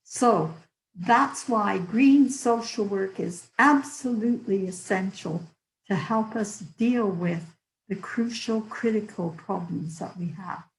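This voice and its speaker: An older woman with a hoarse voice, giving an earnest public lecture with stories and the odd filler. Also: medium pitch, slow, echoey room, poor audio. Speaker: guest lecturer.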